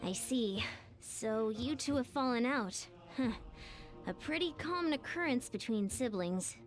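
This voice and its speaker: Earnest high-voiced young warrior. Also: female voice, lively intonation, measured pace, faint music behind. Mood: assured. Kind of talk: anime game voice acting.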